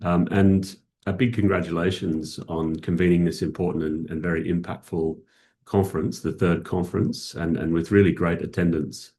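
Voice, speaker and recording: A middle-aged Australian man with an Australian accent giving a formal conference keynote, measured and full of "uh" fillers. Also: sits low, steady tone, warm tone. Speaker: keynote speaker, academic.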